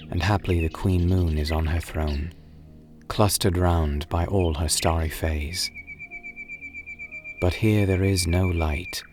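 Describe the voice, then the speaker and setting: A man giving a dramatic poetry reading in a solemn, measured, wistful manner, drawing out his phrases. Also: a bass voice. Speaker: poetry reader and narrator. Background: music and birdsong.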